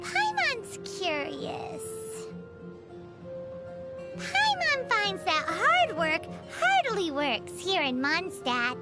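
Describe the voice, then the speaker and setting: A woman voicing a tiny, perky, childlike female companion with a squeaky voice in a video game, music behind her. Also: huge pitch swings, extremely slow, heavily acted. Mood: curious, earnest. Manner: refers to self in third person, exclamatory. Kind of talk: video game character dialogue.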